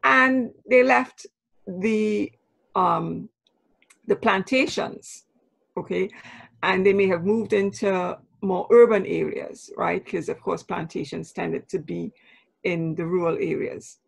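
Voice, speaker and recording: A woman's voice: fairly low pitch, steady tone, gravelly, breathy edge. A woman from Trinidad with a Trinidadian accent, a professor explaining patiently in an interview and often checking in with "right?". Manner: measured, spells out terms, checks in with "right?". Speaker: anthropology professor, guest.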